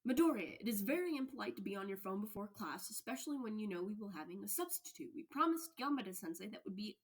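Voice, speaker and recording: A woman's voice: medium pitch, lively intonation, fast, slightly rough. A stern teenage class representative, a boy, voiced by a woman. He scolds in a formal, emphatic way, as in an audio story. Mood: stern reproach.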